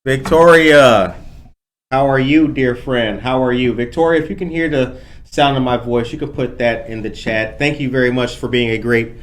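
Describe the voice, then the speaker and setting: Male host, medium pitch, local news and casual interview, conversational with some halting, friendly to listeners. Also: a little hiss or hum. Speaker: podcast host.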